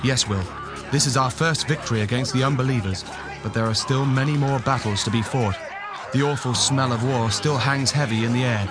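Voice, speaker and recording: A man's voice: mid-range voice, a little fast, natural voice. A man acting a brave, loyal English crusader knight in a children's audio drama, earnest and declamatory. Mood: grave. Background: music.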